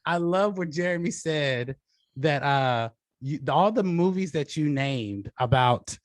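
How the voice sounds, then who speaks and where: A man's voice: a little high, expressive, slow, no echo, clean studio sound. A man hosting a casual debate show, talking through his judging of the match. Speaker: host and judge of a debate show.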